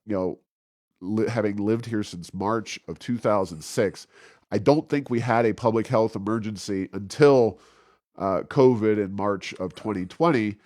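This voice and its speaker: Low-voiced man giving halting political commentary. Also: a little mellow, no room sound, studio quality. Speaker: podcast host.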